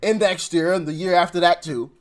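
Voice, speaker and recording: A man's voice: high-pitched, steady tone, fairly quick. A man hosting a casual political commentary show, speaking emphatically and sarcastically and often repeating himself for effect.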